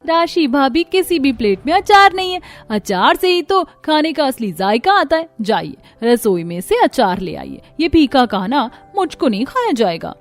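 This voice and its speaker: A woman's voice: high-pitched, big pitch swings, normal speed, few pauses, faint music behind, pitched above the performer's own voice. A woman voicing a bratty, demanding young sister-in-law in a cartoon story. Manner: whiny, demanding.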